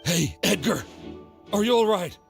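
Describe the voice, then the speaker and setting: A man's voice: high-pitched, animated, a little slow, gravelly, faint music behind, exaggerated voice. An actor playing an earnest, anxious young man in a video game. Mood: alarmed.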